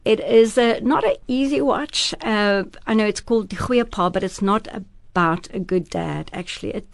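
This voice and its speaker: A woman's voice: on the low side, vocal fry, dry recording. A woman whose first language is Afrikaans, speaking earnestly and thoughtfully as an actress and producer in a radio interview. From South Africa. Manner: earnest, thoughtful, some restarts.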